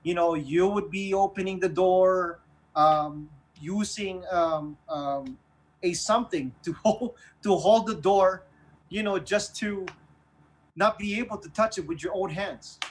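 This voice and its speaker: Male radio host, Filipino accent, voice on the higher side. Earnest, halting, conversational take on current affairs. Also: a little hiss or hum. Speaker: online radio show host. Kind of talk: casual news discussion.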